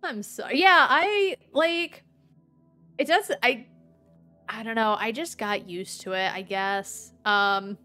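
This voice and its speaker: A woman in her thirties streaming a game, with a dry, sometimes monotone-sounding voice, chatting and rambling. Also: on the high side, takes it slowly. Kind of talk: casual gameplay commentary. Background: faint game music.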